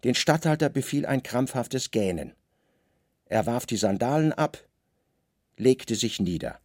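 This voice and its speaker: A man narrating a literary radio play in measured, expressive, weighted phrases. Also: mid-range voice, no room sound, studio quality. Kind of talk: literary scripted narration, dramatic audio drama delivery.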